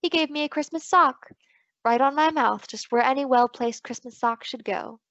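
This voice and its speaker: A woman's voice: high-pitched, moderate pace, a bit bright, dull audio. An actress playing a confident, playful woman private detective in an old-time radio drama. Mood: warm, playful.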